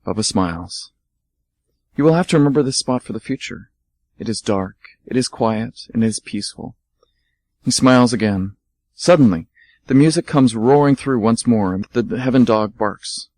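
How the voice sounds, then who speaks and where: A man narrating an amateur radio drama in a calm, even storytelling voice. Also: medium pitch, normal speed, no echo.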